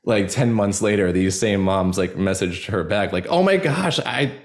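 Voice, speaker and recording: A man speaking as a guest in a casual interview, earnest and halting, often repeating words and leaning on fillers. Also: fairly low pitch, expressive. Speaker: podcast guest, actor and storyteller.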